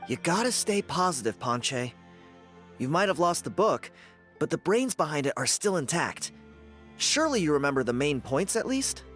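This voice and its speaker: A man's voice: a little high, very animated, moderate pace, a bit bright, faint music behind. A man playing an easygoing, skeptical young friend in a video game, talking in a casual, teasing way. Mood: encouraging.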